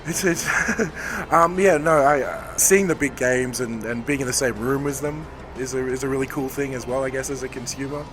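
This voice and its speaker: A man, a game developer, hoarse from talking all day, answering interview questions in a rambling, thoughtful way. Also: medium pitch, fairly even intonation, normal speed. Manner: rambling, self-correcting, thoughtful. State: hoarse, losing his voice. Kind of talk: convention floor interview. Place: busy convention floor.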